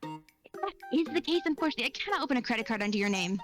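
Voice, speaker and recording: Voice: medium pitch, lively intonation, music in the background, natural voice. A young vlogger voicing a polite but rigid female bank clerk who sticks strictly to policy. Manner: brisk, by-the-book politeness.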